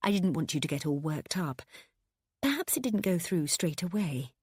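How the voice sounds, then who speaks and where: A woman voicing a sugary grandmother who coos with quiet menace. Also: fairly low pitch, sing-song, normal speed, husky, dry recording, studio quality. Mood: cooing. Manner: cooing, falsely sweet.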